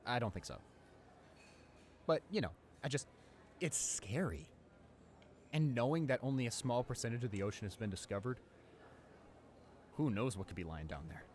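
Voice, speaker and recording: A man playing a friendly, awkward, talkative high school student in a casual audio roleplay, speaking in a hesitant, rambling, informal way. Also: lively intonation, normal speed, long pauses, a little mellow, a little hiss or hum, natural voice. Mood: uneasy, rambling.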